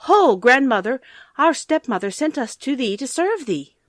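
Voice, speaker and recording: A woman voicing two young orphan children with shrill, childish, polite voices. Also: sing-song, moderate pace, no room sound, studio quality.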